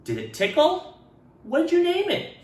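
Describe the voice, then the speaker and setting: A man voicing an excitable, curious young schoolchild in a storybook, eagerly asking questions. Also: very high voice, a little slow, pitched above the performer's own voice, full character voice. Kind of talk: picture-book character voice. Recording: roomy echo, distant microphone.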